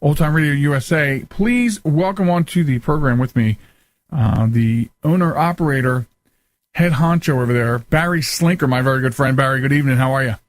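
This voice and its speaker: A man's voice: mid-range voice, moderate pace, dry recording. A man hosting a talk show in a casual, chatty, rambling storytelling way. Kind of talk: casual interview chat.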